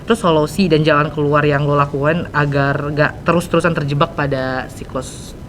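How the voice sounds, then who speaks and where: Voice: mid-range voice, steady tone, normal speed, a bit bright, slight background noise. A teenage podcast host with a Jakarta accent, chatting casually and mixing English into slangy, informal speech. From Indonesia. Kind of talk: casual interview chat.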